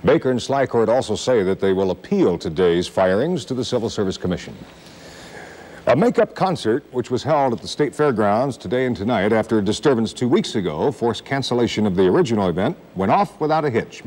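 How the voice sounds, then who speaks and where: A man's voice: lowish voice, sing-song, brisk, a little mellow, poor audio. A man reading scripted local news as an anchor who hands over to reporters.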